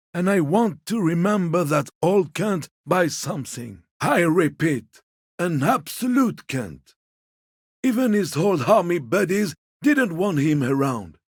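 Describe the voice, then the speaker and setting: A man's voice: a little high, breathy edge, no room sound, studio quality, heavily acted. An actor playing a lonely old man in a video game, wry and wistful, with a fond bitterness and salty tongue. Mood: grieving, fond. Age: older. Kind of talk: video game character dialogue.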